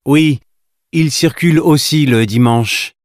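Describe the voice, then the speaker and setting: Slow-reading man, dry recording. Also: fairly high pitch, no echo. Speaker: voice reader for listening test. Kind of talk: scripted dialogue reading.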